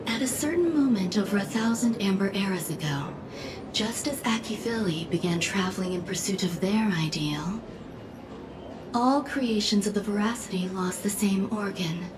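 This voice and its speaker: An actress playing a cool, secretive woman scholar in video game story dialogue, deliberate and enigmatic, with music behind her. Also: medium pitch, background noise, lightly acted. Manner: deliberate, enigmatic, dramatic pauses. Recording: music under the voice.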